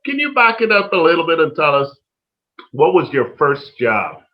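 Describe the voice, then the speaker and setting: A man's voice: on the high side, very animated, normal speed. Male interviewer, muffled audio. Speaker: interviewer, host. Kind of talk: polite interviewing.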